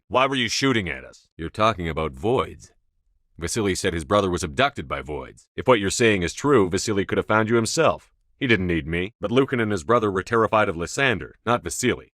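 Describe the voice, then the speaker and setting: A resolute space military commander, with a slightly low voice and a bright, forceful edge. He speaks in firm, clipped phrases like a no-nonsense video game hero, recorded very dry and close. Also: no echo. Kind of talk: video game voice acting, scripted dialogue lines.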